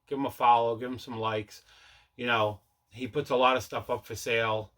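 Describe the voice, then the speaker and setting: Bright-voiced man, casual unboxing host. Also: mid-range voice, steady tone, moderate pace. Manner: rambling, conversational, self-correcting. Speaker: host of a collecting channel. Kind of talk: casual unboxing vlog chat.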